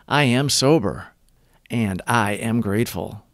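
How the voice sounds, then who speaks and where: A man reading scripted daily recovery reflections as a host, with measured, deliberate phrasing. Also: on the low side, no echo, clean studio sound.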